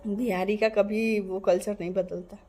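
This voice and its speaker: Woman vlogger from Bihar, earnest and emphatic monologue to camera, average pitch. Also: steady tone, moderate pace, dark tone, soft background music. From Bihar, India. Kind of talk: casual direct-to-camera monologue.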